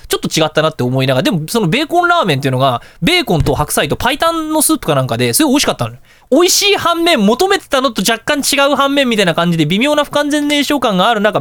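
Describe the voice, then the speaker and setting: A man hosting a radio show, telling anecdotes in a casual, chatty, animated way. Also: sits high, dry recording. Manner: animated, chatty storytelling with colloquial asides.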